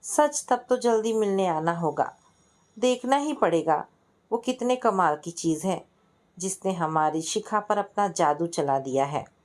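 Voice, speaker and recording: A woman's voice: fairly low pitch, animated, measured pace. A woman voicing a young female student in a story, caring and insistent, with a questioning, teasing manner.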